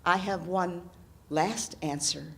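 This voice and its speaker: A woman's voice: lowish voice, slow, gravelly, husky, pitched below the performer's own voice, exaggerated voice. An earnest, courteous king, as a woman storyteller voices him, stammering a little as he pleads for his life. Told in her own near-natural voice, with no heavy disguise. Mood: earnest, pleading. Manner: stammering, polite. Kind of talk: oral storytelling.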